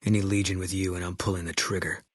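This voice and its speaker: A man voicing a grim, embittered military sniper in a video game, terse and guarded, with a husky voice. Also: deep voice, quick pace, no room sound, clean studio sound. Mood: curt, hostile. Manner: terse, guarded, flat, clipped delivery. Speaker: video game companion character. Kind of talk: game voice acting, terse dialogue lines.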